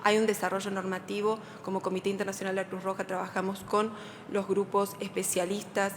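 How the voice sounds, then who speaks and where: A woman speaking as a Red Cross representative on a panel, steady and even with occasional hesitations. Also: medium pitch, a little fast, slight background noise. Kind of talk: formal panel presentation. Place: large conference hall.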